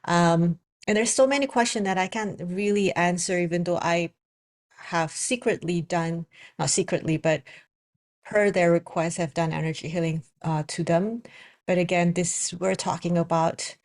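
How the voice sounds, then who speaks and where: Indonesian-accented woman, lowish voice. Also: steady tone, moderate pace. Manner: hesitant, many fillers and restarts. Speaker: spiritual coach and psychic reader. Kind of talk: casual spoken video message.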